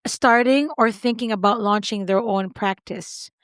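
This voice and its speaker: A woman's voice: medium pitch, fairly even intonation, unhurried, a bit bright, clear, resonant tone, no room sound, studio quality. A woman with a non-native English accent hosting a podcast interview, who talks in long run-on sentences and keeps checking for agreement. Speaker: podcast host. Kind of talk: conversational interview.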